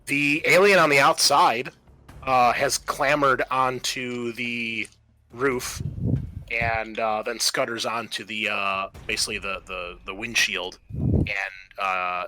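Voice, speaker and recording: Bright-voiced male tabletop game master. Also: mid-range voice, takes it slowly, low-quality recording. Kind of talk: narrating a tabletop roleplaying game. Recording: music under the voice. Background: music.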